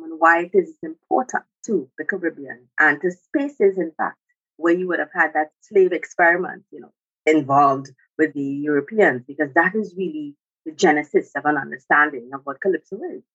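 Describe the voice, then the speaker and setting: A woman with a Trinidadian accent explains things in a formal, lecturing way as an academic guest. Her voice is husky and slightly low, and the recording is a little dull and lo-fi. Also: fairly quick, fairly bright tone. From Trinidad and Tobago. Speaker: academic guest, music sociologist. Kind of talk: explanatory interview talk.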